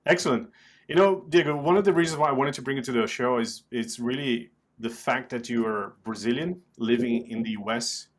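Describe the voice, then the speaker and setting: A man's voice: mid-range voice, a little fast. A man hosting a podcast, introducing the show and putting questions to a guest. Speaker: podcast host. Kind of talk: scripted intro and interview questions.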